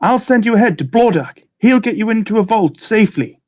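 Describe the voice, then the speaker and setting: A man's voice: high voice, animated, a little fast, darkish tone, muffled recording, voice pushed up. A man voicing a flustered, urgent wizard hero in an exclamatory, theatrical way, on a noisy recording.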